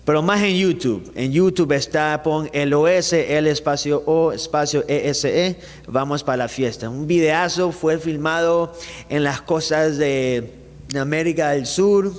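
Young guy from ecuador, singer being interviewed, bit high voice, kinda reverby studio. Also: measured pace. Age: young adult. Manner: animated, gestures with his hands, many restarts. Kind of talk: casual interview chat. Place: small studio set, indoors. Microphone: handheld mic held by the interviewer.

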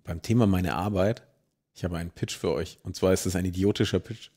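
A man from Franconia co-hosting a podcast from his home, telling a story in animated casual chat. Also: deep voice, brisk, husky. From Franconia, Germany. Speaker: podcast co-host.

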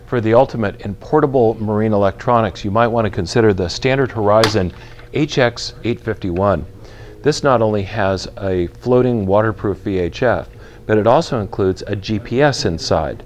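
A man in his fifties talks straight to camera in a big retail store, explaining equipment clearly and practically. His voice sits on the low side and comes through a lapel mic. A faint hum of the shop floor lingers underneath. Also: darkish tone. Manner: clear, measured, practical explaining with hand gestures. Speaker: retail company spokesperson presenting boating gear. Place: large indoor retail store aisle.

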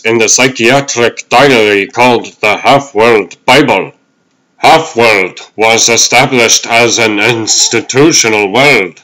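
Man playing a slow, theatrical old turtle huckster. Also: medium pitch, fairly bright tone, rough recording. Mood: solemn explaining. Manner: theatrical sales patter, drawn-out delivery. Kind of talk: comic dub character acting.

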